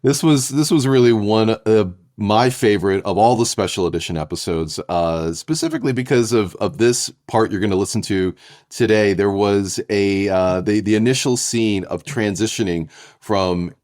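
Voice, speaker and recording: A man, a podcast writer and co-creator, talking in a thoughtful, halting way, often restarting his sentences. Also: on the low side, darkish tone, no room sound, studio quality. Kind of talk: casual podcast intro chat.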